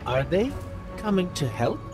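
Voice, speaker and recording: A small robot companion for a video game. He sounds eager and helpful, with lively swings in pitch. Loud music sits behind him. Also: unhurried. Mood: concerned.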